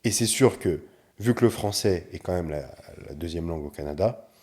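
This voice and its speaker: A man with a low, mellow voice talks as a guest on a casual podcast. He is animated and walks through his points with concrete examples, and his tone is warm and unhurried. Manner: animated, explains things with examples.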